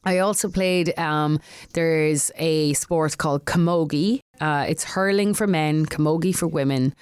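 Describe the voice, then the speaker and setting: A woman's voice: deep voice, unhurried, a little mellow, clean tone, no echo, clean studio sound. An Irish woman, a singer-songwriter, talking casually as an interview guest, chatty with frequent laughs and restarts. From Ireland.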